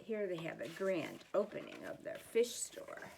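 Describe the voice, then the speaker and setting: An older woman reads a children's storybook aloud like a grandmother, with deliberate, expressive emphasis for young listeners. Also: fairly low pitch, creaky, slight background noise. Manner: slow, deliberate, animated emphasis.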